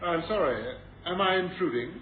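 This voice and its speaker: An actor playing an urbane, mocking murderer in a suspense radio drama, with a smug, darkly amused manner. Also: male voice, high-pitched, expressive, moderate pace. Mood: casually polite. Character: urbane, mocking male murderer who feigned blindness. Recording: noisy phone-like vintage radio recording.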